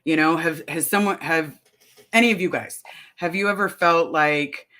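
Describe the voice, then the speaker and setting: A woman's voice: deep voice, moderate pace, sharp tone. A middle-aged woman chatting casually on a live stream, reading viewer comments aloud and rambling through her answers. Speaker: vlogger answering live chat.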